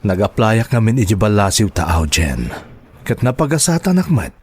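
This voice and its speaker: A man playing a devoted, gentle father and dutiful son in a radio drama, speaking earnestly and coaxingly. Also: fairly low pitch, very animated, moderate pace, slightly rough, dry recording. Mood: serious, pleading.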